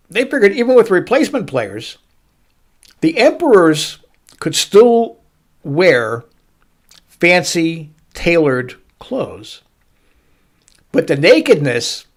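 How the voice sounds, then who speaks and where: A middle-aged man hosting a baseball show, telling a story to listeners with emphatic, dramatic pauses and stretched-out words. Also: fairly high pitch, sing-song. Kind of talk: storytelling sports commentary to listeners.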